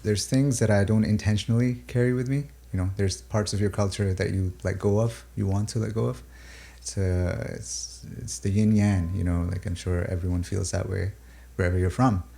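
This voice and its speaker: A man from Pakistan and the UAE, native Urdu speaker, talking thoughtfully about his music in a halting, filler-filled way. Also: lowish voice, steady tone, vocal fry, warm tone. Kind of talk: reflective interview talk.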